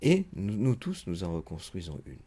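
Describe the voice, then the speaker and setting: Brief: male psychoanalyst, very low husky voice, muses aloud through a lecture with many pauses and fillers. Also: huge pitch swings, mellow. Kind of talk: unscripted spoken lecture.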